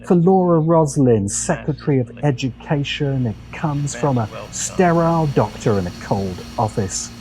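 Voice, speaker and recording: A man delivers scripted commentary in the manner of a video essay host. His pitch moves around a great deal as he sets phrases apart with deliberate, dramatic pauses. Music plays clearly behind him. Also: animated, dark tone, rough recording. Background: background music.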